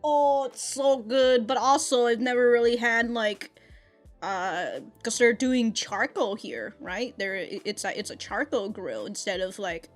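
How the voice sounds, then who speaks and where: A woman's voice: a little high, measured pace, soft background music. A woman giving casual, off-the-cuff reaction commentary in a slangy, profane, giggly manner. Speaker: anime reaction reviewer.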